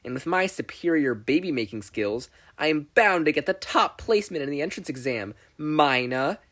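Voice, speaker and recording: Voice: medium pitch, animated, normal speed, fairly bright tone, pitched above the performer's own voice. A podfic reader voicing a brash, self-assured teenage girl inventor, boastful, blunt and emphatic. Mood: competitive, brisk.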